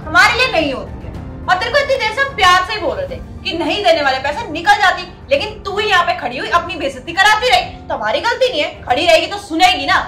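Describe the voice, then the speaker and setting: A woman's voice: very high-pitched, sing-song, quick pace, pushed and bright, full character voice. A young woman playing a haughty, cruel customer in a melodramatic skit, scolding with contempt. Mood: scornful. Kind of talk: melodramatic scripted Hindi skit acting. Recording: roomy echo, faint music behind.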